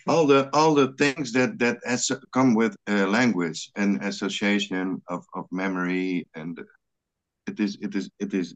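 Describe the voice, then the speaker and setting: A Frisian man leading a scripture study on a video call, speaking English with many halting restarts and repeated words. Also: fairly low pitch. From Netherlands. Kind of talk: online religious study group discussion.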